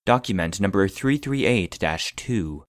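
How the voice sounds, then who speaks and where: A man playing an impassive database voice, reading classified files in a formal, measured, clinical manner for a scripted audio drama. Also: low voice, expressive, slight background noise. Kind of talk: scripted narration of clinical reports.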